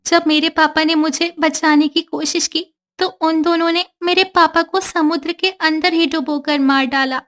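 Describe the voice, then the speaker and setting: A sorrowful young mermaid. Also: female voice, very high voice, fairly even intonation, unhurried, fairly bright tone. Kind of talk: cartoon storytelling. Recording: faint background music.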